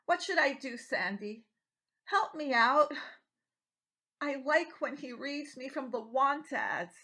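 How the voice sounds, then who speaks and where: Woman acting a bright, animated, feisty nonconformist writer. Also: high-pitched, slow pace, full character voice. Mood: pleading. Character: unemployed nonconformist writer pleading to keep beloved nephew, feisty. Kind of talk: dramatic stage monologue reading.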